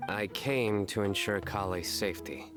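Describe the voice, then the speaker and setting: A man voicing a stoic, earnest young man in an anime game, speaking in a formal, solemn deadpan. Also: fairly low pitch, moderate pace, loud music behind the voice, lightly acted. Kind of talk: anime game dub acting.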